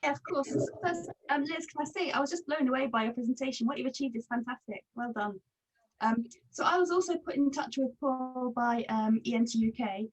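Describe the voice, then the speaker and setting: A woman's voice: high voice, fairly quick, low-quality recording. A woman ear surgeon introducing herself and her charity work in a video call meeting, friendly and earnest.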